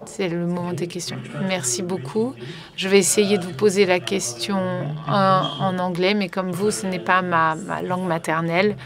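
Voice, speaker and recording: A woman's voice: lowish voice, flat delivery, moderate pace, crowd noise. A woman working as a conference interpreter, relaying a panel live with frequent fillers and restarts. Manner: hesitant, many fillers and restarts.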